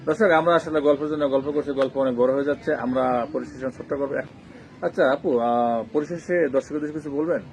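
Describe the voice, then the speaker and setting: Fast-talking Bangladeshi male interviewer. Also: medium pitch, slight background noise. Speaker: interviewer and host. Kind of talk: conversational interview for viewers.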